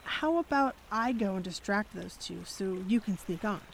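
Sassy, lively young 1930s debutante. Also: female voice, medium pitch, normal speed, gravelly, slight background noise, rough recording. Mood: headstrong, sassy. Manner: slangy, brash period talk. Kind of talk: 1930s detective audio drama acting.

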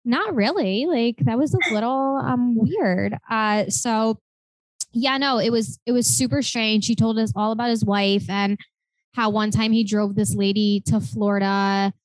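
A woman in her late twenties co-hosting a podcast, telling stories in a chatty, animated way full of "like" fillers. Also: fairly high pitch, a little slow, no room sound, poor audio. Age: 20s. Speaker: podcast co-host. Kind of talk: casual chat.

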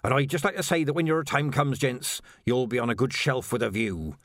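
A man's voice: medium pitch, animated, brisk, fairly bright tone, no room sound, clean studio sound, voice pushed up. A man voicing a morbid, sulky, pleading gravedigger in an audiobook. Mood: grateful. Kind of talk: audiobook character voice.

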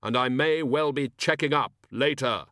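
Guy doing grim reaper type master, slow stern commanding, dry close storybook recording. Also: mid-range voice, pushed and bright, no room sound, voice pushed up, lightly acted.

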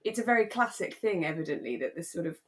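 A woman's voice: lowish voice, lively intonation, quick pace, fairly bright tone. Woman podcast host, kinda giggly and gushing, just chatting away casually. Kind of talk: casual chat about a radio drama.

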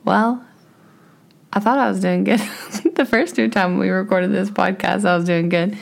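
A woman co-hosting a podcast with her husband, chatty and animated, her voice a little scratchy, laughing often. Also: medium pitch, moderate pace, creaky. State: slightly scratchy voice. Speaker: podcast co-host. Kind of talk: casual chat.

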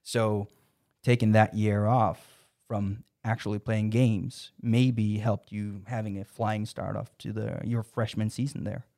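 A man hosting a casual chat, recapping a player's season in a steady, even way. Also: fairly low pitch, unhurried, vocal fry.

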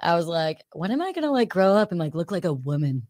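A woman in her thirties with a lowish voice, hosting a loose, chatty podcast conversation. She is self-deprecating and swears freely, and her voice sounds close and dry with no room around it. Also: sing-song, fast talker, darkish tone, no room sound. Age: 30s. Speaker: podcast host. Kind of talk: casual chat.

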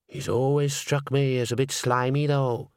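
A man's voice: medium pitch, flat delivery, normal speed, darkish tone, clean tone, no echo, studio quality, close to an everyday voice. A man voicing a doubting, gossipy theatre chorus member with an insinuating, hesitant manner in a storybook reading. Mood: suspicious.

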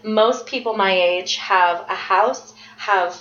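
A woman's voice: medium pitch, slow, a bit bright, echoey room, lo-fi sound. A woman in her early thirties talking candidly and casually as a podcast co-host, with occasional swearing. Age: 30s. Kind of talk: casual chat.

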